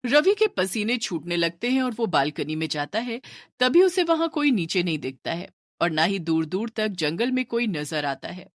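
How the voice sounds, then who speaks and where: A woman's voice: medium pitch, very animated, normal speed, no echo, studio quality. A woman narrating a scripted horror story.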